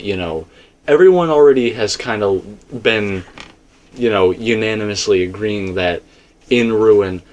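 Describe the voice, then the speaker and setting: Low-voiced man in rambling, emphatic discussion. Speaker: video game theorist and host. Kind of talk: casual speculative discussion.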